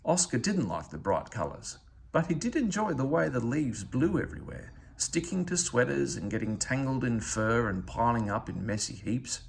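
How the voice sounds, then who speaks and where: A man reading a children's storybook aloud in an expressive narrating style. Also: medium pitch, vocal fry, a little hiss or hum. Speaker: storybook reader.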